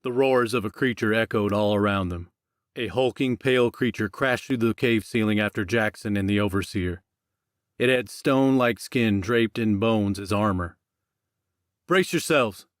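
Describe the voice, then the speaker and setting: A male audiobook narrator reads scripted fiction in a fairly low voice. The recording is dry, with hardly any room sound. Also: moderate pace, dry recording.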